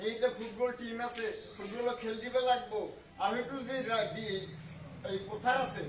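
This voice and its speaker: A man playing a bumbling, blustering village politician in an amateur comic skit, halting and bombastic, through a voice changer. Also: very high-pitched, fairly even intonation. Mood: self-important, placating. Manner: halting, bombastic, garbled broken English mixed in. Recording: echoey, distant pickup with clear background noise.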